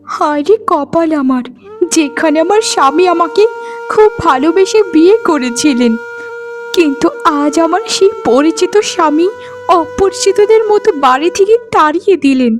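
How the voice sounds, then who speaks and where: Woman acting a very high-pitched, sorrowful young wife. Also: animated, normal speed, music in the background. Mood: despairing. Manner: plaintive, lamenting. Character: sorrowful rejected young wife, humble and pleading. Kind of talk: melodramatic cartoon dialogue.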